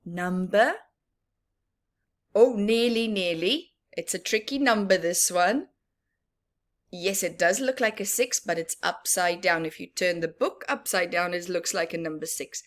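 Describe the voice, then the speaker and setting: A woman reading a picture book aloud to young children, interactive and expressive, with a sing-song, questioning manner. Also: on the low side, a little slow. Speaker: children's story reader and teacher.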